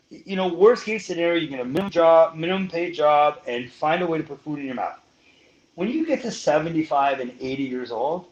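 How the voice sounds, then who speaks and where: This is a man on a casual podcast with a voice that sits a little above the usual male range. He explains his points emphatically, stretching out the words he wants to land. He keeps returning to the listener with a quick "right?". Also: a little high, dull audio. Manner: emphatic and explanatory, often slowing to stress points. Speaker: podcast guest giving money advice.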